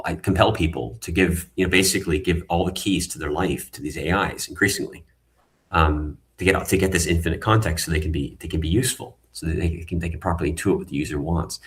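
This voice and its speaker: A man's voice: deep voice, fairly bright tone. A man, a tech founder, earnestly explaining his ideas as a guest in an online panel talk. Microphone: video call.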